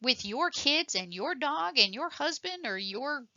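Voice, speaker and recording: Bright-voiced, rambling woman nurse presenter. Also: high voice, very animated, measured pace, dry recording, highs cut off. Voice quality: self-described voice like a frog puppet. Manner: rambling, enthusiastic, many fillers and restarts. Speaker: telephone triage nurse and course presenter. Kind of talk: casual motivational presentation.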